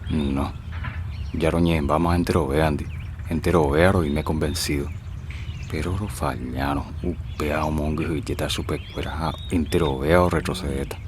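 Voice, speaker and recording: Guy acting earnest paraguayan farmer dad, super deep voice, radio soap, birds and noise behind. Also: fairly even intonation, moderate pace, darkish tone. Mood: insistent, persuading. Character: earnest father, farmer organizing fellow cane growers.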